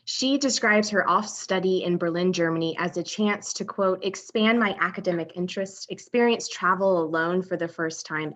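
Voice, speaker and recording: A woman's voice: lowish voice, fairly bright tone. A woman presenting a ceremony, steadily reading student introductions over a video call. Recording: dull video call audio.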